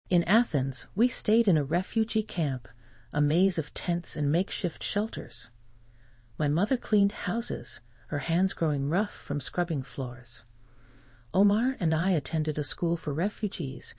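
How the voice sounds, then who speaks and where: This is a narrator reading a first-person story aloud in a measured, careful way. The tone is dark and mellow, with a husky grain and a frequent creak at the edges of the words. The delivery is that of a scripted reader for learners. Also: medium pitch, no echo.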